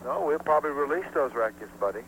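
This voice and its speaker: A male executive talks quickly and dismissively in a business call. It comes from a 1950s phone tape with faint noise behind the voice. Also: fairly high pitch, animated, a bit bright, rough recording. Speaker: record company executive.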